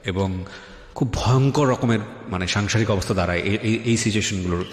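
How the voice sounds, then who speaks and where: Fast-talking Bangladeshi man hosting radio, echoey. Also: fairly low pitch. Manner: switches between Bengali and English. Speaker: radio show host. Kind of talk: serious interview with asides to listeners. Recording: echoey, long reverb.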